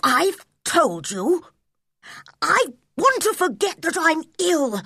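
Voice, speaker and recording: A woman playing a sickly young boy in a storybook, by turns pleading, imperious and excitable, with a breathy delivery. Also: high voice, slow pace, no echo, studio quality, pitched above the performer's own voice, exaggerated voice. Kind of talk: storybook character reading.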